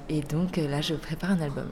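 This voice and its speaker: A woman's voice: deep voice, fairly even intonation, normal speed, a loud crowd. A young woman from Chile, a singer, chatting casually in an interview with hesitant fillers. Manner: hesitant, many fillers, laughs softly. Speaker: singer guest.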